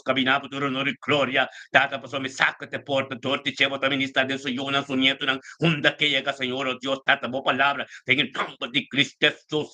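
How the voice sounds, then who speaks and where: A man's voice: mid-range voice, sharp tone. A man preaches in fervent, repetitive prayer, calling out exclamatory invocations as he leads a live online service.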